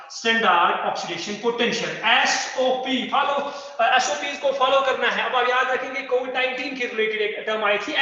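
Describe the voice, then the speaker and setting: A young male teacher lectures fast in a high, bright voice, repeating key terms and checking in with his class. He is recorded by a far camera in a classroom with a whiteboard, so the sound is roomy, reverberant and dull. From Kargil. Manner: repeats key phrases, quizzes students. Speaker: chemistry teacher. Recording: echoey room, dull lo-fi sound.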